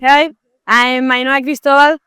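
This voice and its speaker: Young Spanish woman, bright clear voice. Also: sits high, steady tone, measured pace, dry recording, studio quality. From Spain. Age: 20s. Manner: simple phrasing with fillers. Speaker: table tennis player.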